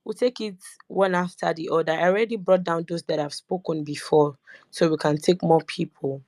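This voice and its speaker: A woman with a low voice, chatty as she moderates and brings speakers up in turn. The recording is dry and close. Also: fairly even intonation, normal speed, a little mellow, no room sound. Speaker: co-host moderating the audio room. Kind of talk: casual moderation of a live audio chat.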